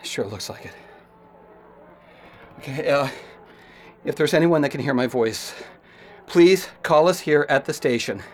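A man's voice: a little high, lively intonation, a little slow, pauses often, a little hiss or hum. A man playing a nervous, flustered public radio announcer in a horror radio drama, stammering and hesitant. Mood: worried.

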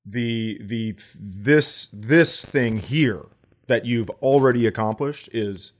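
Male comedian co-host, middle-aged, medium pitch, casual and emphatic banter. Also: slow pace.

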